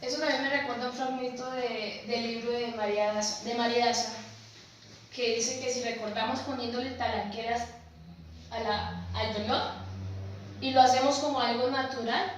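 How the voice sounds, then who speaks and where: An earnest woman English teacher with a slightly high voice reflects aloud on a panel, stumbling now and then. She sounds distant, caught in a very echoey room with faint background noise. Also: steady tone, normal speed. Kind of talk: academic panel discussion. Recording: echoey room, far from the mic.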